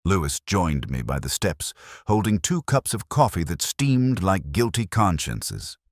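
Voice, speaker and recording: Very deep, brooding detective played by a man. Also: sing-song, no room sound, clean studio sound, exaggerated voice. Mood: dry, wry. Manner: measured, literary, brooding. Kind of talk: scripted first-person mystery narration.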